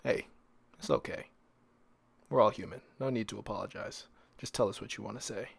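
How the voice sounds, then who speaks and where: A man's voice: lowish voice, brisk, creaky, slightly rough, no echo. A man hosting a solo podcast in a casual, conversational way, repeating phrases for emphasis and posing rhetorical questions. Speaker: podcast host.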